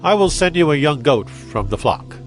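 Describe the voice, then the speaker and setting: An actor playing a commanding biblical patriarch in a dramatized scripture reading, with formal, declamatory delivery. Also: male voice, on the high side, big pitch swings, moderate pace, faint music behind. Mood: bargaining. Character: Judah, commanding biblical patriarch, grown man.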